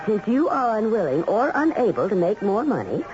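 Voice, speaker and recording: An actress playing a cutting middle-aged society wife in a 1970s radio drama, pointed, polished and primly sarcastic. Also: female voice, medium pitch, sing-song, moderate pace, darkish tone, lightly acted. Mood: cool, cutting. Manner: pointed, polished, falsely sweet on social calls. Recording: muffled old radio broadcast, faint music.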